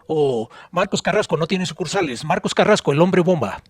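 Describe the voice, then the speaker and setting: Higher-pitched middle-aged Mexican man reminiscing. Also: animated, moderate pace, husky, dry recording. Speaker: podcast co-host. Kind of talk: reminiscing conversational storytelling.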